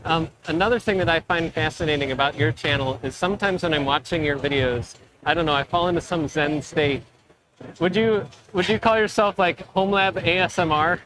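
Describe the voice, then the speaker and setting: A man's voice: a little high, fairly quick, crowd noise, poor audio. Chatty man hosting a live interview. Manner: chatty, enthusiastic, trailing restarts. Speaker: livestream co-host interviewing creators. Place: busy expo floor.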